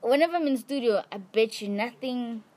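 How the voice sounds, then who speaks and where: A young woman, a musician guest talking in a quick, animated and giggly way. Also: a little high. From South Africa. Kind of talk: casual interview chat. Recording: clear background noise with clicks.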